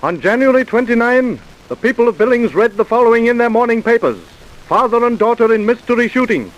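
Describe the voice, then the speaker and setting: High-pitched, solemn crime narrator. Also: male voice, lively intonation, fairly quick, low-quality recording. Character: solemn newspaper-style crime story narrator. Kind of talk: old-time radio scripted crime narration.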